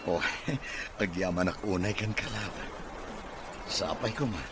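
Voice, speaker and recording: A man's voice: low-pitched, fairly even intonation, unhurried. A man acting a well-off boss and devoted lover in a Filipino radio drama, with a Filipino accent. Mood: affectionate, reassuring. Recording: noisy radio drama with ambient sound.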